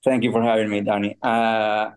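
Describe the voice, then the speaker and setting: A man in his thirties from Ecuador, a guest on a podcast who speaks with frequent pauses and restarts. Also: fairly low pitch, little pitch movement, smooth. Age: 30s. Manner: halting, with fillers and restarts. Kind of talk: casual interview.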